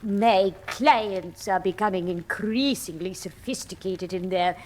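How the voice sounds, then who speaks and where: An actress playing an artful, evasive London underworld madam in a radio drama, guarded and genteel in manner. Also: female voice, medium pitch, measured pace, darkish tone, a little hiss or hum, close to an everyday voice.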